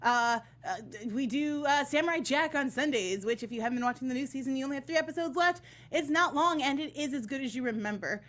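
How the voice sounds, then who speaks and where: A woman's voice: high-pitched, lively intonation, quick pace, a bit bright. A woman chatting casually as a guest reviewer on a panel, emphatic and enthusiastic.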